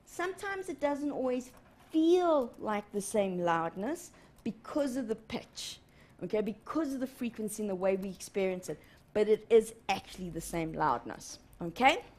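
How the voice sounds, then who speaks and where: A woman in her thirties teaching a live televised lesson in a studio, animated and often checking in with "okay?". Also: medium pitch, moderate pace. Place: TV studio in front of a large screen.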